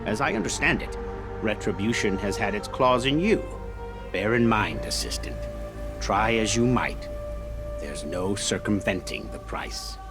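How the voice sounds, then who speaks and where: An actor playing a haughty, verbose scholar in a video game, with pompous, precise, ornate delivery and a foreboding air. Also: male voice, fairly low pitch, expressive, a little slow. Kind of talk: video game character acting. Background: music behind the voice.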